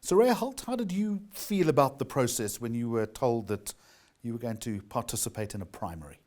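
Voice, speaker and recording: A South African man moderates a debate with a brisk, welcoming manner. His pitch is mid-range, and his intonation rises and falls a lot as he introduces the speakers and puts questions to them. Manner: brisk, warm, animated intonation. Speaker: debate host.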